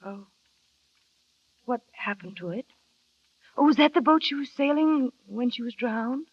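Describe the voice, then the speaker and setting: An actress playing a shy, insecure young wife who narrates wistfully and asks hesitant, earnest questions, on an old radio drama. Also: female voice, on the high side, lively intonation, a little slow, no room sound. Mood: anxious. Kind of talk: 1940s radio acting. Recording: dry, dull vintage radio recording.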